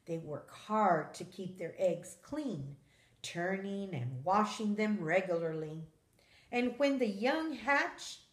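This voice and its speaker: A woman reading a children's nonfiction book aloud in a measured, expressive storytelling manner, like a teacher. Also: lowish voice, roomy sound.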